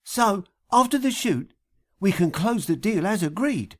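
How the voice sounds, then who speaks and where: A man's voice: high voice, animated, unhurried, a bit bright, voice pushed up, heavily acted. A man voicing a teasing, bossy, flirtatious woman with a purring, coquettish delivery in an audiobook. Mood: coaxing. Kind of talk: acted audiobook dialogue.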